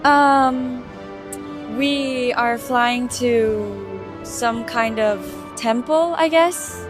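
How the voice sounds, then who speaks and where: A woman speaks slowly in a fairly high voice, chatting casually with friends as they play. There is music in the background. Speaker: friend in a gaming voice chat. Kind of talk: casual chat.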